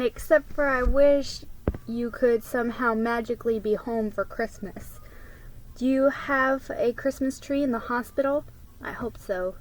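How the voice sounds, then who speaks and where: A woman's voice: on the high side, lightly acted, rough recording. A woman voicing an earnest, hopeful young girl in a storybook reading.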